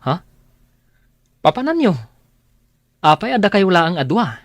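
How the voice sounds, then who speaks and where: An actor playing an excitable, loyal young friend in an Ilocano radio drama, expressive and emphatic, with an Ilocano accent. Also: male voice, high voice, unhurried, dry recording, heavily acted. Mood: teasing.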